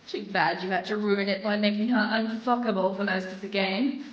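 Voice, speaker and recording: An actress playing a vain, scornful succubus demon in a video game, with theatrical, haughty, sarcastic delivery. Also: female voice, mid-range voice, steady tone, normal speed, roomy sound, distant mic, highs cut off, slight background noise, lightly acted. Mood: scornful, mocking. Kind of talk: video game character dialogue.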